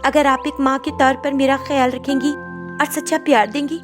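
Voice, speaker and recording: Woman voicing a kind, high-pitched young servant girl. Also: little pitch movement, moderate pace, music in the background, natural voice. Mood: polite, forgiving. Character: kind young servant girl, cheerful despite a painful past.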